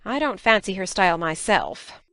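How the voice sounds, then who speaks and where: A woman playing a stern, practical middle-aged spinster farm woman in an audiobook drama, crisp and scolding in manner. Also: mid-range voice, big pitch swings, moderate pace, a bit bright. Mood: grudging, firm.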